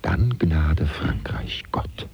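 Very deep, gravelly, confiding manservant. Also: male voice, big pitch swings, very slow, whispered, dull audio, exaggerated voice. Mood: confiding, uneasy. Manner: confiding, ironic, rhetorical questions. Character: François, wry gossiping valet to a French revolutionary politician. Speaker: narrator. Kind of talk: German radio play, confiding narration to the listener.

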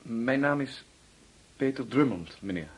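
A man's voice: mid-range voice, expressive, unhurried, close to an everyday voice. Guy playing reserved young drifter in a radio play, short hesitant answers, some noise behind. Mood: polite, hesitant. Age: young adult. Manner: terse, hesitant. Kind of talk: radio drama acting.

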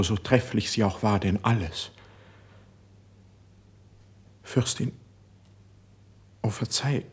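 A deep, faintly husky male voice plays an impassioned court poet. He declaims verse with lofty, measured melancholy, in the manner of a classic stage-style radio play. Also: heavily acted.

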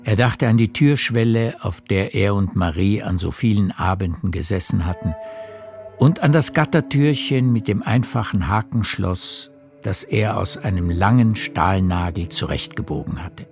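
A man narrating a literary radio play in a measured storytelling manner. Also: lowish voice. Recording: music behind the narration.

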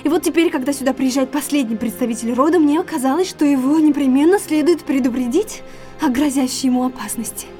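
An actress playing an anxious, secretive young gentlewoman in an audio drama, guarded and earnest. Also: sits high, quick pace, faint music behind, close to an everyday voice. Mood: guarded, urgent.

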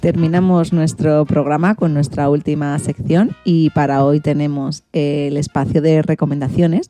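A woman's voice: low-pitched, warm tone, dry recording. A woman with a Spain Spanish accent, presenting a scripted magazine radio show as its host.

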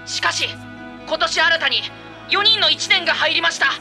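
A woman voicing an energetic, boastful teenage boy on a volleyball team, excited and self-dramatizing. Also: extremely high pitch, normal speed, soft background music, heavily acted. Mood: announcing. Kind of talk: anime drama CD acting.

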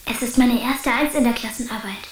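A woman's voice: fairly high pitch, a little fast, close to an everyday voice. A woman playing an eager, candid schoolboy in a German children's radio drama. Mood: hopeful, hesitant. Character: Anton, eager schoolboy, candid and a little stubborn. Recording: hissy, roomy recording.

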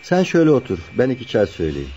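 An actor playing a friendly, contented married carpenter in a radio play. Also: male voice, mid-range voice, huge pitch swings, rapid-fire, mellow, slight background noise. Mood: welcoming. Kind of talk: naturalistic radio play dialogue. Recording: dull lo-fi radio play recording.

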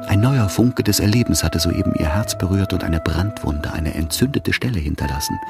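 A man narrating a literary radio drama in a measured, solemn manner. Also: low voice, gravelly. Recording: orchestral music behind the voice.

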